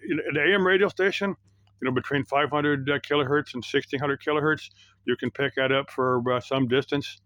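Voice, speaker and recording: A middle-aged or older man with an American accent, explaining things casually in a halting, rambling way with backtracking. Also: mid-range voice, fairly bright tone, no room sound. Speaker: YouTube host.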